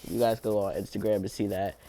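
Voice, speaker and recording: A young man, sick and hoarse, rambling casually in crude slang on his vlog. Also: fairly low pitch, flat delivery, fast, mellow, slight background noise. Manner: rambling, crude slang, laughs and coughs often. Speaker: YouTube voice creator.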